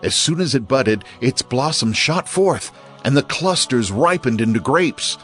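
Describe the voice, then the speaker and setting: A man playing a troubled royal cupbearer in a dramatized scripture reading, with an expressive storytelling delivery. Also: medium pitch, a little slow, faint music behind. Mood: troubled, wondering. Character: troubled royal cupbearer recounting a strange dream.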